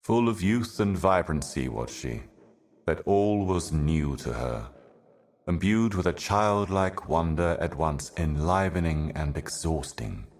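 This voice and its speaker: A super deep ancient video game herald, grave and weary, slow cryptic doom monologue. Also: male voice, expressive, a little mellow. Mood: grave, brooding. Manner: grave, deliberate, ominous, poetic.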